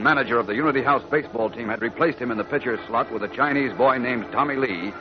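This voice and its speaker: An American man narrating a 1940s radio serial in a dramatic, declamatory way. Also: medium pitch. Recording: muffled 1940s radio recording. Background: music.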